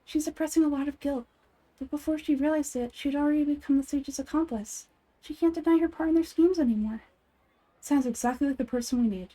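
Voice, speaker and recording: A woman voicing a small, wise young girl deity from a game, speaking in a measured, earnest and gentle manner. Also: sits high, voice pushed up, lightly acted, rough recording. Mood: calm, thoughtful.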